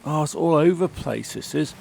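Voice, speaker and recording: A man's voice: on the high side, fairly quick, creaky, a little mellow, slight background noise, rough recording. A man with a sore throat, chatting casually as he plays and comments on a racing game. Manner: chatty, grumbling about the game. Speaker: gaming channel host.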